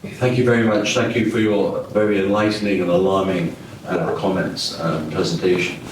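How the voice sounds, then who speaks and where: A man's voice: low voice, flat delivery, normal speed. A man in the audience politely asking a question at a conference, with some hesitations. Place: conference room in parliament. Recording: distant, echoey room with faint background noise.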